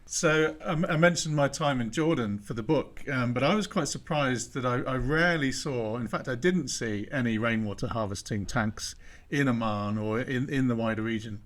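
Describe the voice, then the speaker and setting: A man hosting a podcast interview, asking questions in a relaxed conversational way. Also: medium pitch, moderate pace. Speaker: podcast host.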